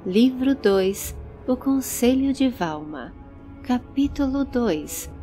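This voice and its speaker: A Brazilian woman reads a fantasy audiobook with a mellow, fairly high voice. Her storytelling is measured and expressive. Music plays underneath. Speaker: audiobook narrator. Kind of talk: dramatized fantasy audiobook narration. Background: background music.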